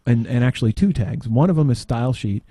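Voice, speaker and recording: Guy doing a casual software demo, mellow dry sound, kinda halting, thinks out loud. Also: mid-range voice, expressive, breathy edge, no room sound. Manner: halting, tangential, thinking aloud. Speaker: podcast panelist demonstrating software.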